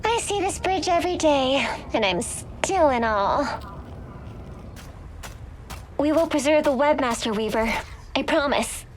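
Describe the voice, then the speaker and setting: An actress playing an eager, awestruck young heroine in a video game, sweet and earnest. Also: female voice, high-pitched, expressive, a little slow, long pauses, a little hiss or hum. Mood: awed, bright. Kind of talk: game voice acting.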